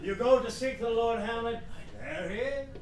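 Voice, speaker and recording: An actor playing a fussy, pompous, long-winded old royal counsellor in a theatrical Shakespearean radio play. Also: male voice, extremely high pitch, moderate pace, very noisy. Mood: fussy, deferential.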